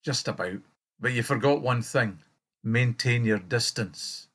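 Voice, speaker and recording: A reserved male counsellor in a radio play. He is laconic and drily witty, with a mid-pitched voice. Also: measured pace, fairly bright tone, breathy edge. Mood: wry. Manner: laconic, wry. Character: reserved, dry-witted male helpline counsellor. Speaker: actor.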